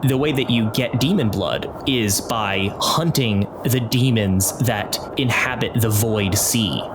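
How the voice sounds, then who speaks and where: A man narrating and running a tabletop role-playing game, explaining the setting in a clear storytelling manner. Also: lowish voice, slow pace, background noise. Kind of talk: recap narration and game mastering. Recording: music bed under voice.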